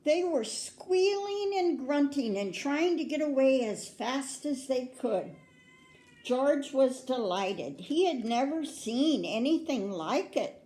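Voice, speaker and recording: An older woman with an American accent reads a picture book aloud to children in a grandmotherly way, pausing to put questions to her young listeners. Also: high voice, animated, dark tone. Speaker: children's story reader. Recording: roomy, echoing indoor sound.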